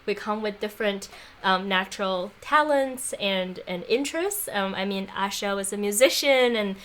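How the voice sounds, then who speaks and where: A young woman talking in a casual interview, thoughtful and warm, laughing often and using plenty of fillers. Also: medium pitch, normal speed. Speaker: guest, former apprentice. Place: makeshift home studio on an island.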